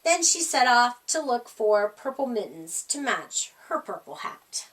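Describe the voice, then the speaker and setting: A woman with a fairly high, bright voice narrates a children's storybook. Her read-aloud is expressive and emphatic, pitched for young listeners at storytime. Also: measured pace, distant mic, a little hiss or hum, low-quality recording. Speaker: storyteller reading a children's picture book.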